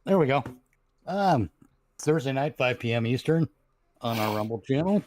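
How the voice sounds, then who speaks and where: Male panelist, middle pitch, casual and bumbling, slight noise behind. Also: expressive, moderate pace, a little mellow. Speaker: podcast panelist. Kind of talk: casual rambling plugs.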